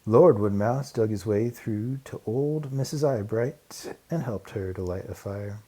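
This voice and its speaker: Slow, deliberate male storybook narrator. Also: fairly low pitch, dark tone. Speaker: audiobook narrator. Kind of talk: children's storybook read-aloud narration.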